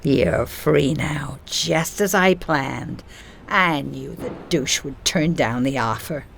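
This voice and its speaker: A woman's voice: extremely low pitch, measured pace, gravelly, fairly bright tone, a little hiss or hum, heavily acted. A woman playing a scheming, haughty female corporate villain in an audio play, pompous and theatrical in manner. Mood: sinister scheming.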